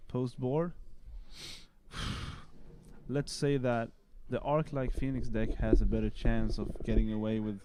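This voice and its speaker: A man's voice: medium pitch, little pitch movement, slow, pauses often, warm tone, slight background noise. Spanish-accented man doing casual commentary. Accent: Colombian Spanish. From Colombia. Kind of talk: live game commentary.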